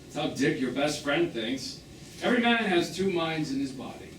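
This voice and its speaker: A man's voice: fairly high pitch, very animated, moderate pace. A man acting a melancholy, tender, wounded romantic in stage drama dialogue, lyrical and pointed in delivery. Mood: hurt, longing. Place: theatre stage, recorded from the audience distance. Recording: echoey, distant, noisy live theatre recording.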